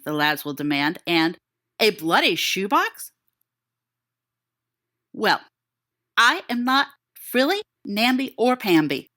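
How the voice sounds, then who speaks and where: A woman reading credits and a journal entry from a fan story aloud in a wry, measured way. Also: fairly high pitch, pushed and bright. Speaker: podfic reader.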